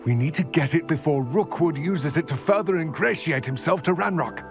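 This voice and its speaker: An actor voicing an aging, proud goblin in a video game, speaking in a formal, measured, eloquent way. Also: male voice, fairly high pitch, over music, natural voice. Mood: urgent, bitter. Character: aging male goblin, solemn, proud, formal former fighter. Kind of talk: video game cutscene acting.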